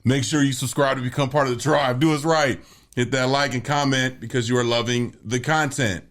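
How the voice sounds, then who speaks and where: A man co-hosting a casual music review podcast, talking with playful hype. He likes to repeat words for emphasis, and his voice sits at an everyday middle pitch. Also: fairly even intonation, a little fast, fairly bright tone. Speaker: podcast co-host. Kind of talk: casual album review chat.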